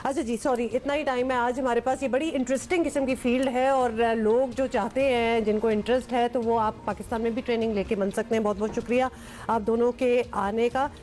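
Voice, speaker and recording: A woman from Pakistan hosting a TV talk show, brisk and mixing English phrases into her questions. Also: fairly high pitch, steady tone, a little mellow.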